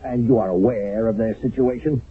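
An actor playing a stern, skeptical wartime army captain in a radio drama, commanding, clipped and sardonic. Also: male voice, medium pitch, fairly quick, natural voice. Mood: angry, sardonic. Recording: narrow, phone-like vintage radio broadcast.

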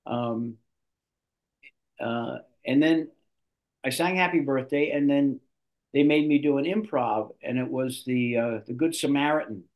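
An older man, a guest actor, telling long stories in an interview with lively restarts. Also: mid-range voice, unhurried, pauses often, poor audio. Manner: animated storyteller, restarts a lot. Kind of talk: storytelling in an interview.

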